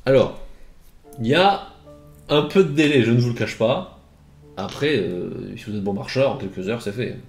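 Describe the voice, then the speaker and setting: A man narrating as game master of a tabletop game, relaxed and easygoing, often filling pauses with small words. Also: medium pitch, creaky, reverberant, faint music behind. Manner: relaxed, easygoing, fills with hein and euh.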